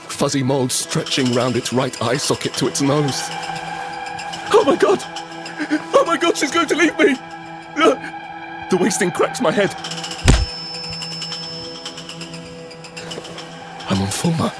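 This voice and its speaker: Desperate male survivor, higher-register voice, urgent first-person audio drama narration in broken phrases, prominent score underneath. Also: sing-song, moderate pace, long pauses, over music. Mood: panicked. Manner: urgent, fragmented, dramatic delivery. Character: desperate, frightened male survivor of a monster apocalypse. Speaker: narrator and lead character.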